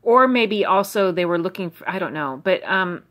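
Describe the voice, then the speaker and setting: A middle-aged American woman with a low voice talks over her old diaries. She drifts from thought to thought and fills the gaps with ums and you-knows. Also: animated, moderate pace, a bit bright. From United States. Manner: musing, stream of consciousness, many fillers. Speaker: vlogger reading her old journals. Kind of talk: rambling personal commentary.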